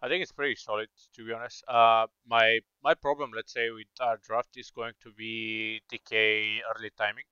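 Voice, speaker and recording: A man with a Bulgarian accent breaking down an esports draft, thinking aloud with plenty of hesitations. His lowish voice is very crisp and bright. It comes through a slightly dull, dry lo-fi mic. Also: level tone, moderate pace, hardly any pauses, no room sound. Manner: analytical, hesitant, many fillers. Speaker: esports co-caster. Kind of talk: live game commentary.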